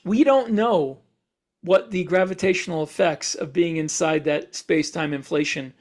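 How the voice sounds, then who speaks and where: A man's voice: on the high side, moderate pace. A man co-hosting a podcast as an author, explaining things in casual shop talk with wandering, self-correcting sentences. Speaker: podcast host and science fiction author.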